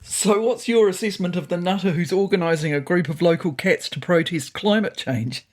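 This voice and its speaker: A woman's voice: on the low side, moderate pace, darkish tone, natural voice. A woman voicing a pompous, opinionated male cat in a storybook, talking with mocking, derisive amusement. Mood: breezy.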